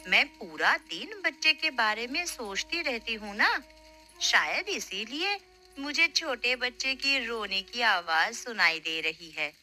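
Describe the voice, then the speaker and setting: A woman voicing a kind, tender village woman in an expressive, melodramatic cartoon storytelling style. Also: a little high, slow pace, music in the background. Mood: puzzled, concerned. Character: kind, tender village woman who adopts an abandoned baby girl. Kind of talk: dramatised children's moral story narration.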